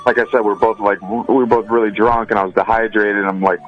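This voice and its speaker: A young man on a phone call to a radio show, chatting casually in a halting, reluctant way. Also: fairly low pitch, flat delivery, soft background music. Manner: halting, reluctant, repeats himself. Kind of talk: casual chat. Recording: dull phone line into radio.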